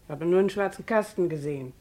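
A woman's voice: on the low side, fast, warm tone, natural voice. An actress playing a suspicious, unhelpful landlady in a crime radio play, offhand and grudging. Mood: grudging, exasperated. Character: gruff, suspicious, unhelpful landlord of a rooming house.

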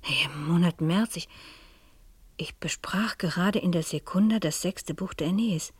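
An actress playing an educated, anxious middle-aged schoolteacher who narrates her own mystery in a reflective, often hushed radio-drama style. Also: lowish voice, measured pace, slightly rough, dry recording. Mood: tense, pondering. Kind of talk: 1970s German radio drama acting, intimate first-person narration.

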